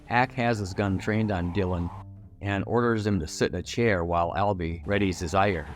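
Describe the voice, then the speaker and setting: A man's voice: deep voice, normal speed, soft background music. A man giving a scripted, storytelling narration for a television review video.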